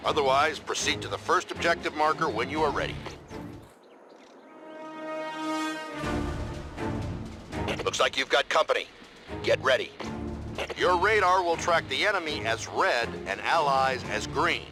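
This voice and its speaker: An actor playing a stern military commander in a video game, giving formal, measured and authoritative mission briefings. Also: male voice, on the high side, animated, music in the background, lightly acted. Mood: brisk, instructive. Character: stern male military commander briefing a special forces soldier. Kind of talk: scripted game cutscene acting and mission guidance.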